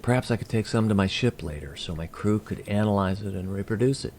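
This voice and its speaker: Man playing a gravelly, formal alien emissary. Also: lowish voice, darkish tone, a little hiss or hum, lightly acted. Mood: polite, curious. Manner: formal, measured, precise wording. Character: polite, curious, formal male alien emissary. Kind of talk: audio drama acting.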